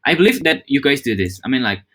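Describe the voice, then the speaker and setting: A man's voice: mid-range voice, very animated, a little fast, sharp tone, slightly rough. A young man giving an informal training talk to hospitality coworkers, mixing English phrases into casual speech, with frequent fillers and restarts. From Java, Indonesia.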